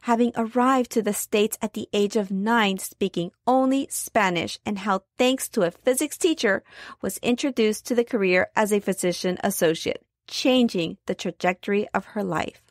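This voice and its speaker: A woman's voice: medium pitch, normal speed, no room sound, clean studio sound. A woman speaking as the host of an interview podcast. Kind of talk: scripted introduction and interview.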